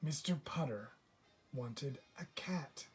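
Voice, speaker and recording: A man reads a children's story aloud in the manner of a classroom teacher. His voice sits at a middle pitch. He phrases each sentence deliberately and with care for young listeners. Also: animated, music in the background.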